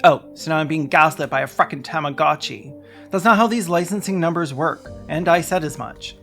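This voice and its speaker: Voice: medium pitch, a little fast, a bit bright, faint music behind. A sarcastic, indignant video essayist who swears while delivering scripted investigative narration.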